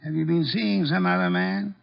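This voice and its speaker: An actor playing a courtly, imperious armchair detective in a formal, probing manner, in 1950s American radio drama style. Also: male voice, sits high, normal speed, creaky. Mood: shrewd pressing. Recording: dull vintage radio recording.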